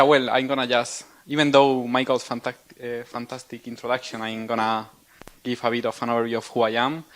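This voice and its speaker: A young man with a Spanish accent speaks to an audience in a lecture room. His delivery is friendly and self-deprecating, often joking with the crowd, at a medium pitch. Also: fairly bright tone. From southern Spain. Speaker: guest speaker. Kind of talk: casual public lecture.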